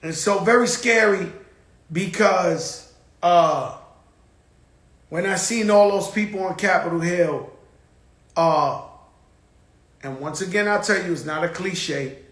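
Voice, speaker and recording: A man's voice: sits high, takes it slowly, long pauses, a bit bright, roomy sound. A man hosting his own show, talking in a blunt, profane, rambling casual monologue. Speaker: show host.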